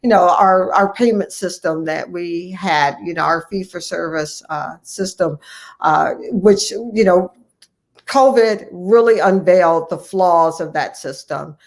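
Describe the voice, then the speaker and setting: A woman's voice: on the low side, a little slow. Earnest American woman giving interview answers. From United States, Ohio. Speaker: physician guest and medical association leader.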